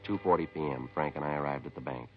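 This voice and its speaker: An American actor playing a stoic, businesslike police detective in a 1950s radio drama, terse, polite and deadpan. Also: male voice, a bass voice, fast, soft background music. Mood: deadpan narration. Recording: dull 1950s radio recording.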